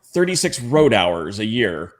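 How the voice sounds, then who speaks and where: A man's voice: lowish voice, big pitch swings, a little slow. Canadian man chatting on a panel. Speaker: podcast panelist and fan. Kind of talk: casual panel chat, personal anecdotes.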